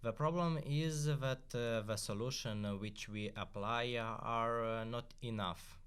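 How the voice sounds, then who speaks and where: A man in a student podcast, reading a prepared segment in halting, non-native English with frequent filler pauses. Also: mid-range voice, fairly bright tone, smooth.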